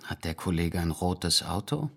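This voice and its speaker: An actor in a radio drama playing a despondent, hesitant man who speaks in a halting, subdued way. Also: low voice, expressive, gravelly, noisy background. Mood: weary. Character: despondent, hesitant, broke man, low and weary. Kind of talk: radio drama acting with inner monologue.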